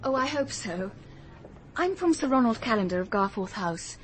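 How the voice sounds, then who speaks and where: An actress playing an earnest, observant young detective, in radio drama dialogue and first-person narration. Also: female voice, fairly high pitch, sing-song, moderate pace, noisy background. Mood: polite. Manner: earnest, thoughtful, literate narration.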